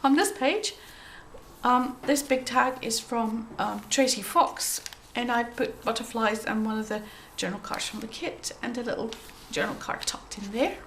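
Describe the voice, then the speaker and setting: Croaky woman chatting about her crafts. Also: on the high side, measured pace, slight background noise. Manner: gentle, chatty, with frequent ums and throat clearing. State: croaky from a cold. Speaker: craft journal maker showing her work. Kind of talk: casual show-and-tell.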